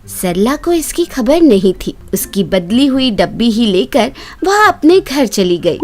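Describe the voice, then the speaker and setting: A woman's voice: fairly high pitch, sing-song, a little slow. A woman reading scripted narration for a children's moral story.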